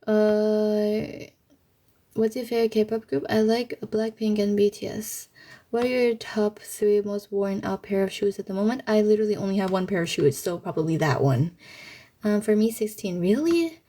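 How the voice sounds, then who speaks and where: A young woman talks casually to her livestream viewers in a soft-edged, mellow voice at a medium pitch. She answers questions in informal, youthful slang. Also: moderate pace. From Thailand.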